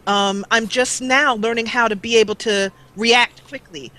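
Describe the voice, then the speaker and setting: A woman guest on a live call-in interview, talking about her gaming videos in an animated, rambling way with frequent laughter. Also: on the high side, unhurried, low-quality recording. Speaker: guest, let's player. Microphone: call-in line.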